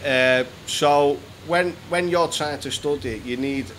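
A man's voice: fairly high pitch, measured pace, fairly bright tone. Chatty British man, noisy room. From England. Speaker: interview guest, British pub owner. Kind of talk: casual conversational storytelling. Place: small decorated indoor room. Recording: noisy room with background hum.

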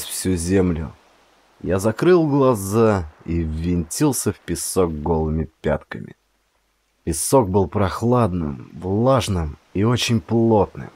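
A man narrating first-person fiction as an audiobook actor, dramatic, brooding and expressive. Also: fairly low pitch, dry recording.

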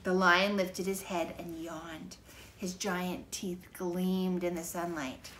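A middle-aged woman reads a children's story aloud in a fairly low voice. Her telling is measured and dramatic, recorded in a living room with clear background noise. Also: level tone, fairly bright tone. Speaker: storybook reader. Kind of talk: expressive children's book narration. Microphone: camera a few feet away.

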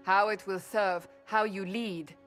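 A woman playing a resolute, earnest warrior in a fantasy game, speaking in a solemn, measured, emphatic way. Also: mid-range voice, fairly even intonation, fairly bright tone. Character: resolute, earnest female warrior counselling a leader. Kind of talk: dramatic fantasy game dialogue. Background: music.

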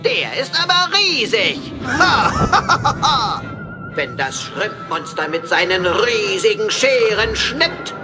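A woman voicing a swashbuckling, excitable pirate cat with salty sailor talk in a children's cartoon radio play. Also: on the high side, extremely slow, heavily acted. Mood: dramatic, eager. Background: music.

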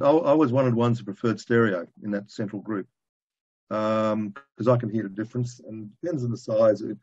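A man in his sixties giving an informal technical presentation over a video call from a room at home, speaking in a halting, colloquial, conversational way. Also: mid-range voice, darkish tone, husky. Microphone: video call, computer microphone. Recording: dull lo-fi video call audio.

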